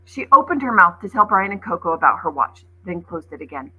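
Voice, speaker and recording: A woman's voice: on the low side, sing-song, a little fast, breathy edge, phone-quality sound. A woman schoolteacher reading a children's story aloud expressively and explaining it plainly for young listeners.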